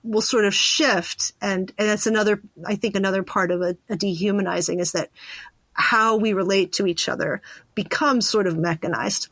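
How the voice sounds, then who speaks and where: A woman hosts a conversational podcast in a mid-pitched voice. She talks informally, thinking out loud as she goes. The sound is dry, with no room around it. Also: steady tone, moderate pace, dry recording. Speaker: podcast host. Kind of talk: casual conversational interview.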